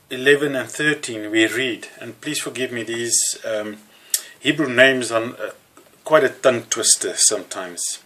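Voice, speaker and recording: Slow, bright-voiced Afrikaans-preacher. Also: male voice, medium pitch. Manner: slow, halting, with many fillers and restarts. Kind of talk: sermon.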